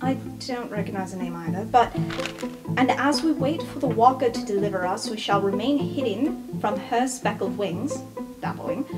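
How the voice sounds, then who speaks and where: Girl doing a clever sure-of-herself college student in an rpg, explains stuff firmly, loud music behind. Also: fairly high pitch, expressive, moderate pace, close to an everyday voice. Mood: solemn, assured. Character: confident, clever young female university student cracking codes. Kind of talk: improvised tabletop roleplay.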